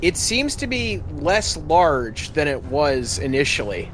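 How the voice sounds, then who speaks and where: A man's voice: a little high, big pitch swings, takes it slowly, a bit bright, a little hiss or hum. A man acting as game master, casually narrating a tabletop roleplaying game and calling for rolls.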